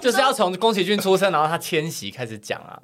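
A man's voice: high-pitched, sing-song, quick pace, a bit bright. A man with a Taiwanese Mandarin accent, a podcast guest telling comic stories in a campy, playfully boastful, rambling way. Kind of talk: casual comic storytelling and chat.

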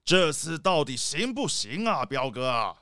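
A man's voice: fairly high pitch, sing-song, a little slow, sharp tone, clear, resonant tone, no room sound, studio quality, heavily acted. A man playing a boastful, irritable rich leopard boss in a children's audio drama, brusque and dismissive. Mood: grumbling.

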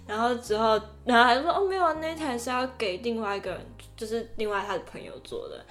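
A woman's voice: fairly high pitch, quick pace, faint music behind. A young adult woman with a Taiwanese Mandarin accent co-hosts a casual podcast, telling stories animatedly and acting out the lines. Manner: animated storytelling, acting out quotes and inner thoughts. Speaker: podcast co-host. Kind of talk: casual podcast chat with personal anecdotes.

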